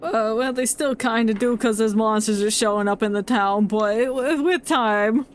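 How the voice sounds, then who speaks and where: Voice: mid-range voice, normal speed, slight background noise. A streamer giving casual, jokey commentary over a game.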